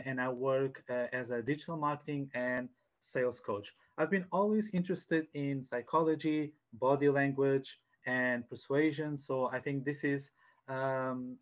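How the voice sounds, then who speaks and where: A man leads an online webinar as a coach. His pitch sits in the middle range. He speaks haltingly, often repeating a word as he feels for the next one, and is recorded on a headset. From Portugal. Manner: hesitant, halting, repeats words. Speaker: sales coach giving a webinar. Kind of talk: informal online presentation. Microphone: headset on a video call.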